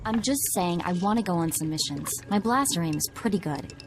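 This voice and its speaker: A spunky young tech slicer. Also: female voice, lowish voice, expressive, noisy background. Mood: perky. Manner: quick, chatty, teasing. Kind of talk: video game dialogue. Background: faint game music.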